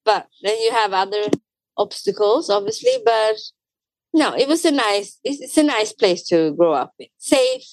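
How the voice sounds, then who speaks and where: A woman's voice: a little high, big pitch swings, normal speed, no echo, poor audio. Chatty swedish woman, lots of fillers and restarts, dry close sound. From Sweden. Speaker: guest. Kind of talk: casual conversational interview.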